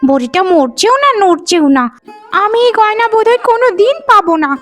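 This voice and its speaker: An extremely high-pitched young daughter-in-law voiced by a woman in a cartoon moral tale. She is greedy and scheming, with a whiny, theatrical delivery. Soft music sits behind the voice. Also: big pitch swings, fairly quick. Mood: irritated, plotting. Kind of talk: Bengali animated moral-story voice acting.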